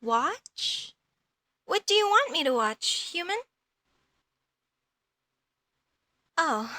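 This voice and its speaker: A woman playing a curious, outspoken young female elf mage in a comedic audio roleplay, questioning things in a halting, slightly haughty way. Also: sits high, bright, forceful tone, no echo, studio quality, heavily acted. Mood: intrigued.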